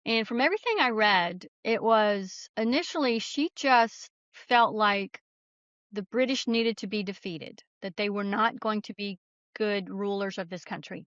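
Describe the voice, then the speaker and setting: A woman's voice: mid-range voice, measured pace, a bit bright, no room sound, lo-fi sound. A woman speaking as a guest author in an interview, telling stories in an earnest, explanatory, conversational way. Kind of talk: conversational interview answers, storytelling.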